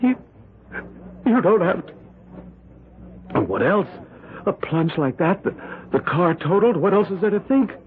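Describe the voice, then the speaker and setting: An edgy, defensive husband. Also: male voice, sits high, big pitch swings, a little slow, pauses often, a little hiss or hum. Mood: shaken. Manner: clipped and irritable, voice leaping when rattled. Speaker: husband in a radio mystery. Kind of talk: 1970s radio drama acting. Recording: tinny old radio broadcast.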